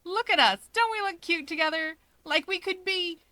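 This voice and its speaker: A woman voicing a cheeky, boisterous young man in a story reading, with an excitable, emphatic, teasing delivery. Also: extremely high pitch, moderate pace, no echo, pitched above the performer's own voice. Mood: playful, insistent. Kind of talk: expressive fan fiction character reading.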